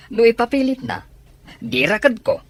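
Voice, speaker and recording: A man's voice: very high-pitched, sing-song, slow, no echo, exaggerated voice. A man playing a stubborn, dismissive father in an Ilocano radio drama, with an Ilocano accent. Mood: defiant.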